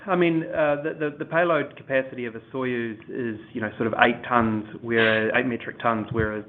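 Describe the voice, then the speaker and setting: A man speaking as a company executive, giving an unscripted technical answer in a halting, measured way with repeated words. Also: mid-range voice, fairly even intonation. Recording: muffled phone-like line with faint noise.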